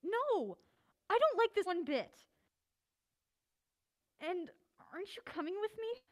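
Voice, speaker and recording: A woman's voice: very high-pitched, very animated, slow, heavily acted. A woman playing an anxious, mild-mannered character in an audio drama, skeptical and easily exasperated. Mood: uneasy, irritated. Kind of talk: naturalistic audio drama acting.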